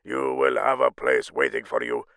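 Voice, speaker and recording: A man's voice: rumbling low, little pitch movement, moderate pace, gravelly, fairly bright tone, dry recording, studio quality, heavily acted. A man playing a solemn storyteller, giving a grave, dramatic scripted narration of myths.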